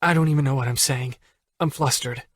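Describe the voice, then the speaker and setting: A man reading an audiobook, voicing a flustered young woman in a higher, softer, breathless voice. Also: a little slow, breathy edge, no echo, studio quality.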